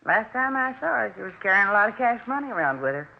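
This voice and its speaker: A man's voice: high voice, big pitch swings, fast talker, gravelly, exaggerated voice. A man playing a gossipy, rambling witness in a 1950s radio drama. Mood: chatty. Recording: tinny, noisy 1950s radio recording.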